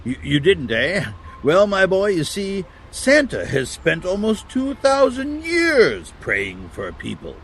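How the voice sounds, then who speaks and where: A man playing a kindly, grandfatherly old Santa Claus in a family audio drama, halting and chuckling as he talks. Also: high-pitched, huge pitch swings, creaky, fairly bright tone, a little hiss or hum, full character voice. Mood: reminiscing. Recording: music behind the voice.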